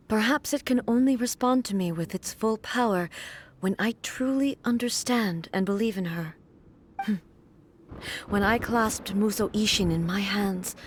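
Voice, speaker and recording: A woman voicing a cold, composed female warrior ruler in a video game cutscene, speaking in a formal, measured, solemn way. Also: medium pitch, slight background noise, natural voice.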